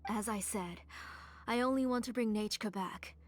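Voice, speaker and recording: A woman's voice: a little high, moderate pace, natural voice. An actress playing a grieving, obsessive mother in a video game, dramatic and anguished. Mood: menacing resolve. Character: grieving, obsessive mother, desperate to revive her child.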